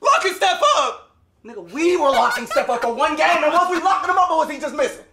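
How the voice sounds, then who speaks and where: A man acting in a comedy sketch as basketball players in a locker room, loud and teasing, shouting between laughs. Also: very high voice, quick pace, full character voice. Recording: echoey room clip.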